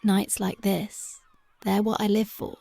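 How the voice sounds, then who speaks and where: A woman playing a gravelly, slow punk girlfriend. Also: medium pitch, little pitch movement, no room sound. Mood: tender, dreamy. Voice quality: soft, intimate, partly whispered. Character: tough, devoted young punk girlfriend, tender at night. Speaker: voice actress. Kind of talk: ASMR girlfriend roleplay.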